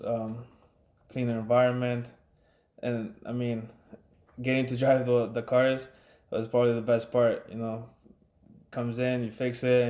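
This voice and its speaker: A man in his thirties from Ecuador, a mechanic, introducing himself casually with many pauses and restarts. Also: medium pitch, roomy sound. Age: 30s. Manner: relaxed, halting, many fillers and restarts. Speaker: auto mechanic.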